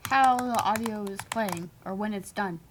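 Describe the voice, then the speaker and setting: A woman's voice: mid-range voice, normal speed, a little hiss or hum, lightly acted, poor audio. A woman voicing a cheeky bird puppet mascot, giving short teasing replies as a coder's sidekick on a casual web show. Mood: helpful.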